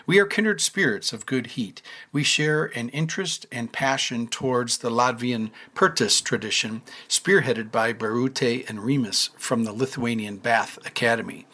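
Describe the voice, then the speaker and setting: A man of sixty hosts a relaxed podcast conversation. His pitch sits at an ordinary middle level, and he rambles with plenty of fillers, false starts and repeated words as he warms up to his guest. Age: 60s. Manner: rambling, many fillers and restarts. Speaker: podcast host. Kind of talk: casual podcast chat.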